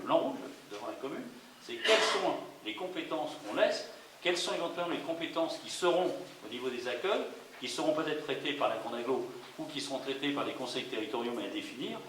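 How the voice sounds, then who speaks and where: A man's voice: mid-range voice, fairly bright tone. A man speaking off the cuff as a local elected official at a public debate, explaining and posing rhetorical questions. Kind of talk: unscripted political speech at a public debate. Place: public meeting hall. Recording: echoey hall, faint hiss.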